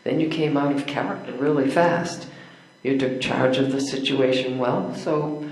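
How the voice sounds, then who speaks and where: A frank, independent schoolteacher woman from a period stage play, read by an older man. The delivery is measured and encouraging, at a medium pitch. It sounds very echoey. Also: fairly even intonation, darkish tone, natural voice. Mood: candid. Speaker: amateur readers theater performer. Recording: echoey room.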